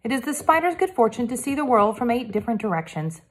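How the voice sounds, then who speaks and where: Lady doing a proper little boy detective, high and bouncy, super formal and polite. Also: very animated, fast. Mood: earnest. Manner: stiff formal phrasing, courteous.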